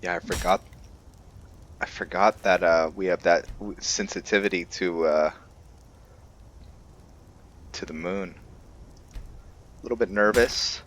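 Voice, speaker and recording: A man hosting a gaming channel, giving laid-back, halting roleplay commentary as he thinks aloud. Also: sits low, a bit bright, a little hiss or hum. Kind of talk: casual roleplay game commentary.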